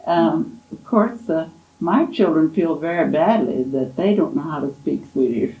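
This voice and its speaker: A woman in her eighties, an oral history interviewee, gently reminiscing in an unhurried, fond way. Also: low voice. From Kansas, USA. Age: 80s. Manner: gentle, unhurried, fond storytelling with soft laughs. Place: college library. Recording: echoey library room.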